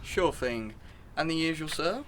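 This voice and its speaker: A man's voice: on the high side, expressive, measured pace, fairly bright tone, a little hiss or hum. A man acting an obliging, formal butler in a radio drama, speaking in a pompous, servile, florid manner. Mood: courteous.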